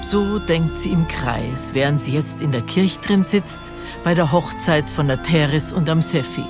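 A woman's voice: low voice, measured pace, over music. An older woman with a Bavarian accent playing a wry, impatient grandmother who narrates an audio drama. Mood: wry, musing. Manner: wry, musing, colloquial.

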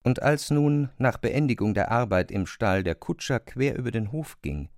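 Narrator for literary drama: man, measured and deliberate, fry in the voice, very dry acoustic. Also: medium pitch, animated, darkish tone, no echo.

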